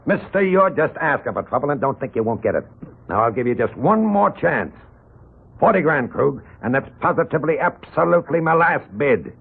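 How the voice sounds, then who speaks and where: Casting: gruff hoodlum for vintage radio crime show, rough husky voice, slangy menace, thin old-broadcast sound. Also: male voice, fairly high pitch, big pitch swings, brisk, exaggerated voice. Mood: angry, menacing. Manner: gruff, threatening, slangy tough talk. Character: menacing, blunt male gangster thug. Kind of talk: 1940s radio mystery acting. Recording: muffled, tinny 1940s radio recording.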